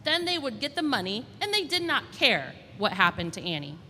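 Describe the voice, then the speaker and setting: A woman storyteller reading a children's storybook aloud in an expressive, deliberate way. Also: on the high side. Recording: reverberant hall, faint background noise.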